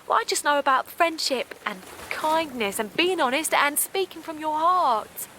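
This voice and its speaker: A woman's voice: high-pitched, normal speed, bright, forceful tone, a little hiss or hum. An actress playing a naive, kindly middle-aged village woman in an audio drama, hesitant and defensive, with colloquial phrasing. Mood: upset, pleading. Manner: hesitant, colloquial, protesting, repeats herself. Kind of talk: naturalistic audio drama acting.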